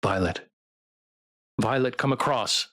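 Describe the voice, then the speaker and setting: A man voicing a composed, gentlemanly young man in a visual novel, speaking in a measured, courteous way. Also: mid-range voice. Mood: urgent. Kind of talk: character acting in visual novel readthrough.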